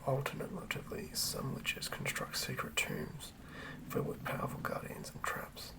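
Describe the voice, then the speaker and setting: A man reading fantasy lore as an ASMR narrator in a hushed, breathy, gravelly whisper, measured and with pauses. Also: rough recording. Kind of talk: ASMR whispered read-through of a fantasy monster manual.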